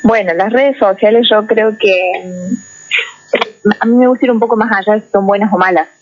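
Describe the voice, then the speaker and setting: A woman psychologist, speaking as a guest in a radio interview, explaining in a measured way and often checking in with "¿no?". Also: medium pitch. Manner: measured, explanatory, tags phrases with "¿no?". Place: radio studio. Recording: muffled lo-fi radio recording.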